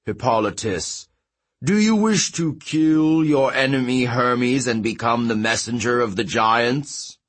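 A man's voice: medium pitch, measured pace, a bit bright. A man voicing a pompous, commanding giant king in a growling fantasy audiobook voice. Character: imperious giant king, booming, scornful, commanding.

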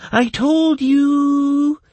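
A man voicing a hopeful, gleeful elf adventurer with a dreamy, drawn-out delivery. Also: very high-pitched, steady tone, a little mellow, no room sound, voice pushed up, exaggerated voice.

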